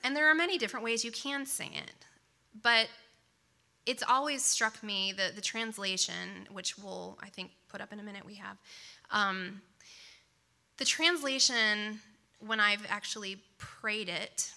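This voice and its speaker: A woman's voice: mid-range voice, measured pace, vocal fry. A woman speaking to a congregation before a worship song, chatty and informal, with many asides, English not her first language. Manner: chatty, warm asides, many "um" and "right?" tags. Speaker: worship leader. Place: congregation service.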